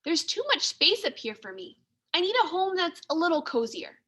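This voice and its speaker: A woman reading a storybook as a timid, thoughtful male mouse, with earnest, expressive delivery. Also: very high voice, moderate pace, pitched above the performer's own voice, heavily acted. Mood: uneasy. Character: timid, thoughtful male library mouse who craves coziness.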